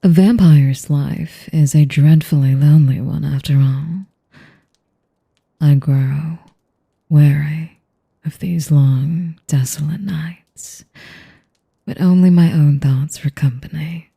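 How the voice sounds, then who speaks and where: A woman playing an elegant, manipulative vampire in an intimate audio roleplay, with a whispery voice and a slow, teasing, mock-polite manner. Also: sits low, steady tone, dark tone, exaggerated voice. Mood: seductive, menacing. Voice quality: breathy, whispery. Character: sadistic, elegant, manipulative female vampire noble.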